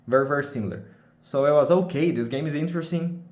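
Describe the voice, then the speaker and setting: A man's voice: fairly high pitch, quick pace, a little mellow, roomy sound. A young man from Brazil with a Brazilian accent, chatting casually in English as a second language, often restarting and searching for words. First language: Portuguese. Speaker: vlogger. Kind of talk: casual unscripted chat.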